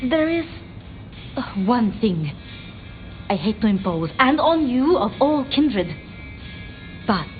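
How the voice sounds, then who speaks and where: A flustered, devoted vampire scholar in a video game. Her voice is fairly high and very lively in pitch, stumbling and apologizing as it goes. The sound is slightly dulled. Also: phone-quality sound, soft background music. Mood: nervous, pleading. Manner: stammering, hesitant, halting and apologetic. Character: timid, flustered, bookish female vampire researcher, devoted to her retainers. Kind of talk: video game dramatic dialogue.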